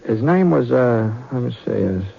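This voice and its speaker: Kindly american cowboy, gravelly and hesitant, old muffled radio western sound. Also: male voice, mid-range voice, lively intonation. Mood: reminiscing, folksy. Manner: hesitant, halting, folksy drawl with repeated words. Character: kindly folksy drifting cowboy gunman telling a campfire story. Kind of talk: 1950s radio western acting. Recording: dull vintage 1950s radio recording.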